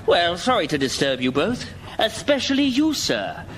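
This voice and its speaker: A man's voice: sits high, huge pitch swings, moderate pace, a little hiss or hum. A British man playing a shifty gangster who feigns elaborate, hesitant courtesy in a radio comedy sketch. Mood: smoothly insincere.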